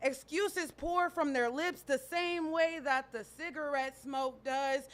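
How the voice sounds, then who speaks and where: A woman performing her own spoken word poetry at an open mic, reciting in a slow, deliberate way. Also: sits high, fairly bright tone. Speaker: poet.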